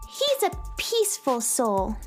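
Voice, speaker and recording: A woman's voice: high voice, wildly swooping pitch, takes it slowly, soft background music, full character voice. An actress playing a plucky young space-cowgirl sound wrangler, earnest and girlish, in a children's radio drama. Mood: friendly, earnest.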